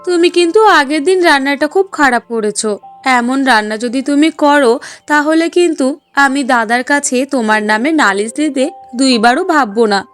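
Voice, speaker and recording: A woman's voice: sits high, animated, a little fast, fairly bright tone, no echo, soft background music. A woman voicing a spiteful, whiny young sister-in-law in a cartoon, scolding and demanding. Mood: bossy, threatening. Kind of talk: Bengali cartoon dialogue.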